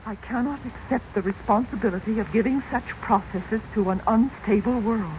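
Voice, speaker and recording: A woman's voice: a little high, normal speed, a little mellow, natural voice. An actress playing an anxious, grieving middle-aged widowed mother in a 1940s radio drama. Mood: grave, reading. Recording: dull, noisy 1940s radio recording.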